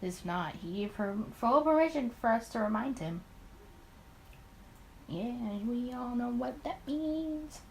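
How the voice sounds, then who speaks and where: A woman's voice: fairly high pitch, lively intonation, slow pace, gravelly, darkish tone, a little hiss or hum. A woman giving casual playthrough commentary on a game and reading its text aloud. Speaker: gaming video host.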